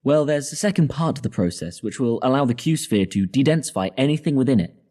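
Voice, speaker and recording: Man acting as a mellow, measured spaceship scientist. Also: medium pitch, expressive, close to an everyday voice. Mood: calm, resolute. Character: measured, confident male spaceship scientist proposing drastic plans. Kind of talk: audio drama acting.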